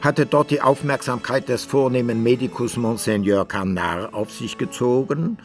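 A man's voice: medium pitch, moderate pace, soft background music, close to an everyday voice. An actor playing a fussy storyteller in a radio drama, who muses aloud and questions himself as he narrates. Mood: musing, puzzled. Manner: musing aloud, self-questioning. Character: musing, fussy storyteller devoted to his hero.

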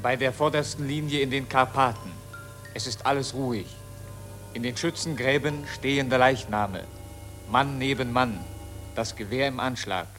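A man playing a sober narrator, reading grim scene descriptions in a measured, scripted radio drama style. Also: medium pitch, a bit bright, faint music behind, natural voice.

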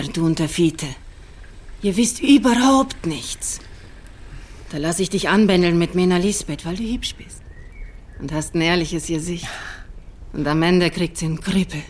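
A woman's voice: fairly low pitch, breathy edge. An actress playing a middle-aged farm mother, folksy, blunt and teasing, in a German radio drama. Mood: worried. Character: blunt, earthy farm mother of a teenage daughter.

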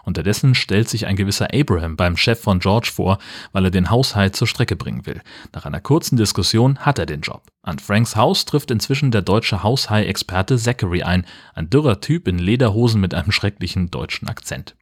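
A man co-hosting a podcast, switching between tidy plot narration and casual chat in a slightly low voice. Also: lively intonation, normal speed, husky. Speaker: podcast host.

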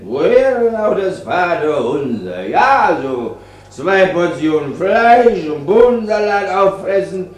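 A drunken army chaplain preaches slowly in a fairly high male voice that rings in an echoing room. He rambles, insults his flock and slips into maudlin piety, in radio drama fashion. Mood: barking, scolding. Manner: rambling, abusive, shifting from barked orders to maudlin piety. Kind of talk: German radio play sermon. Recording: echoey chapel-like room.

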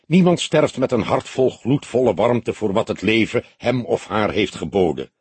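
Male narrator as young detective, sceptical, grumpy, blunt, mocking, strongly husky, lively pitch, audiobook. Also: moderate pace, dry recording. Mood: scornful. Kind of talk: audiobook character dialogue.

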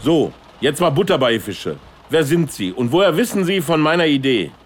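Older male politician, scheming and colloquial, highish voice with swinging melody, satirical radio drama. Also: very animated, moderate pace, slightly rough. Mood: bewildered. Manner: colloquial, blunt. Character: scheming old failed politician, gruff and blunt. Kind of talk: satirical radio play dialogue.

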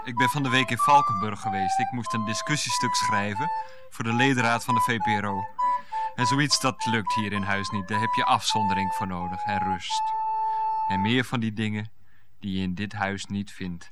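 A man's voice: lowish voice, steady tone, moderate pace, over loud music, natural voice. A man playing a grumbling, dryly mocking, idealistic husband in a radio play.

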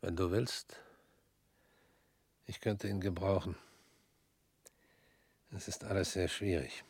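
An actor in a radio drama playing a weary former prosecutor who speaks slowly and drops to near whispers. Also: male voice, sits low, flat delivery, darkish tone, breathy edge, no echo, heavily acted.

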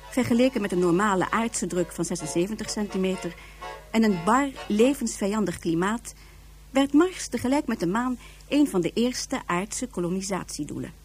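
The female narrator of a science fiction radio drama. Her voice is husky and mid-pitched, delivering scripted background in an informative way. Faint music runs underneath. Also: darkish tone.

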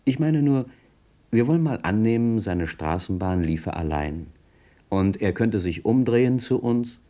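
An actor playing a curious, admiring passenger who narrates in a German radio play, chatty and confiding with the listener. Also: male voice, fairly low pitch, expressive, measured pace, mellow. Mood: musing, confiding.

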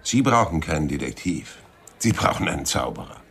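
An actor playing a world-weary, wry private detective in a noir radio play, with a laconic, dry, gravelly delivery. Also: male voice, a bass voice, very animated, brisk, a bit bright, full character voice. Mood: dry, skeptical. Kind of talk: hardboiled noir radio drama acting and narration. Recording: studio radio play with music underneath.

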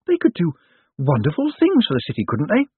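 A man voicing a sharp, sceptical, persistent woman newspaper reporter in an audiobook, with pointed questions in a raised voice. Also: on the high side, huge pitch swings, brisk, breathy edge, dry recording, pitched above the performer's own voice, exaggerated voice. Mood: incredulous. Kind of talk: audiobook character voice. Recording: thin phone-like narrow-band audio.

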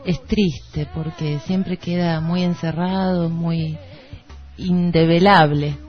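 A woman's voice: lowish voice, steady tone, takes it slowly. A woman with an Argentine Rioplatense accent talking conversationally as co-host of a literary radio show. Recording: dull lo-fi radio recording. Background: background music.

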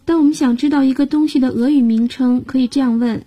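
A woman's voice: high-pitched, steady tone, a little fast, dark tone, slightly rough. A woman hosting a radio language lesson, patiently explaining grammar and guiding listeners step by step. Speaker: language teacher and host.

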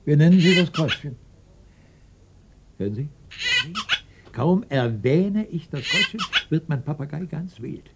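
An actor playing an eccentric, volatile man in his sixties in a radio play, excitable and erratic, with a raspy voice. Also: a little high, very noisy. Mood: chatty. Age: 60s. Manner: excitable, erratic, quick to outrage. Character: eccentric, volatile 65-year-old man in a lonely farmhouse. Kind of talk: German radio play acting.

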